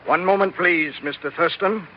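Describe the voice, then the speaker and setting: An actor playing a coldly menacing plantation manager, clipped and formal, in 1940s radio drama style. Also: male voice, fairly high pitch, moderate pace, natural voice. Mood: icy menace. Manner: clipped, formal, veiled threats. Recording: muffled, noisy old radio broadcast.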